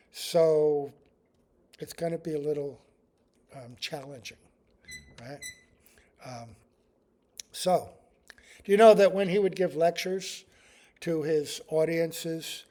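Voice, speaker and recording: A man giving a devotional class, talking in a relaxed and rambling way. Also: a little high. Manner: chants prayers slowly, then talks in a relaxed, rambling way. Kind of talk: devotional class with chanted prayers.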